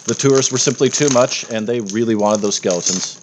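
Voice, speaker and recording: A man's voice: medium pitch, brisk, background noise. A man working as game master, narrating a tabletop game in a casual, improvised way.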